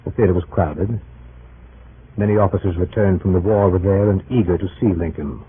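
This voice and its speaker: A man narrating an old-time historical radio drama in a grave, measured storytelling manner. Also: low voice, lightly acted. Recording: noisy, tinny old radio recording.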